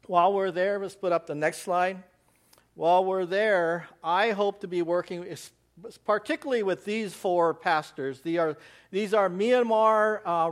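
An American missionary giving a church slide presentation, rambling with many fillers and restarts. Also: male voice, on the high side. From United States.

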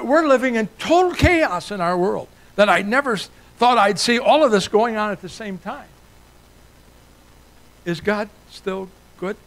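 An older man from Italy delivers a sermon with a slightly high voice. He preaches earnestly and builds his points through rising repeated lists. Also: a bit bright, rough recording. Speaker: guest preacher.

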